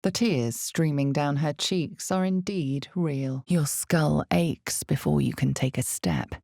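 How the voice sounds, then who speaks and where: A woman voicing a grave, ominous fantasy game narrator, with a gravelly voice and a measured, dramatic delivery in scripted second person. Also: deep voice, a little mellow, dry recording, clean studio sound, heavily acted. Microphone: close studio microphone, dry.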